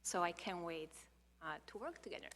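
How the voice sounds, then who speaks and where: A woman's voice: on the low side, lively intonation, fairly quick, fairly bright tone. A woman from Poland with a Polish accent, informally introducing herself at a meeting. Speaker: commission member, salon owner. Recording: reverberant meeting room.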